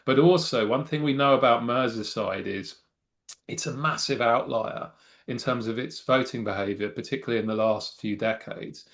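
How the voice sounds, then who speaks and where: A man's voice: medium pitch, moderate pace. A middle-aged man speaking as a political science guest, giving thoughtful, wry analysis with frequent restarts and fillers. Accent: non-Mancunian English. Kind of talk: conversational expert analysis.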